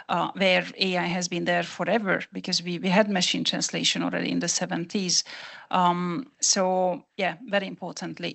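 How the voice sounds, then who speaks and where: A woman's voice: on the low side, vocal fry, a bit bright. A woman whose first language is Hungarian, answering a question on a conference panel in an earnest, explanatory way with frequent restarts.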